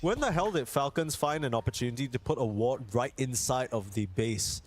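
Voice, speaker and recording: A man's voice: medium pitch, fairly quick. A man commentating live on an esports match, moving between conversational analysis and play-by-play, with music behind him.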